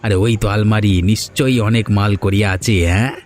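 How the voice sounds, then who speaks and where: A sly young thief mutters his plans to himself, voiced by a man in a cartoon. His voice sits low and he sounds scheming and pleased with himself. Faint music plays behind him. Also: steady tone, fairly quick, darkish tone, natural voice. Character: young sly thief, the farmer's wayward son. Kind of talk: cartoon dubbing.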